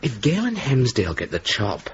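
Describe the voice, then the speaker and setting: An actor playing an amiable young RAF pilot in a radio drama, trading clipped, understated, teasing banter. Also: male voice, mid-range voice, huge pitch swings, fairly quick, creaky. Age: young adult. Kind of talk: 1980s radio drama dialogue. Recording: dull, hissy old radio recording.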